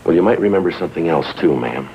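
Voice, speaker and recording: A man playing a stoic American police detective in a 1950s TV drama, deadpan and clipped. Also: deep voice, fast, creaky, slight background noise. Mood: stoic, firm. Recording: dull vintage TV soundtrack.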